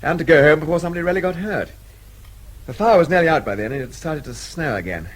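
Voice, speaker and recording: An actor playing a dry, understated Englishman in a radio drama, with a husky voice, wry manner and British accent. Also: a little high, expressive, fast talker.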